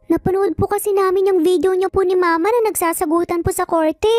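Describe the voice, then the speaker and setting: Woman voicing a polite, curious little child, very high-pitched. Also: fairly even intonation, fairly quick, darkish tone, no echo, clean studio sound, soft background music. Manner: polite, deferential. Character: young schoolchild twins, polite, curious boy and girl.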